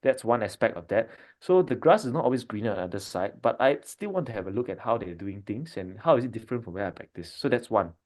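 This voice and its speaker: A man from Malaysia, a doctor in his thirties, talking in a relaxed family podcast chat, thinking aloud with frequent fillers and restarts. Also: on the low side, fast, few pauses. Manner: thoughtful, many fillers and restarts.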